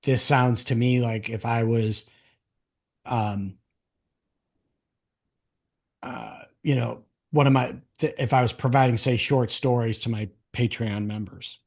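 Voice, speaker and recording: A man's voice: mid-range voice, fairly even intonation, takes it slowly, long pauses, darkish tone. A man hosting an interview podcast in a casual chat style, thinking aloud in loose, rambling sentences.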